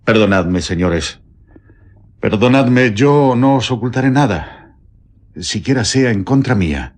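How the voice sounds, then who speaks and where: An actor with a Castilian accent playing a terrified, guilt-ridden prison warden confessing haltingly in a radio drama. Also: male voice, medium pitch, expressive. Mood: pleading, trembling. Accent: Castilian Spanish. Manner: halting, trembling, pleading. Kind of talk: Spanish radio drama acting.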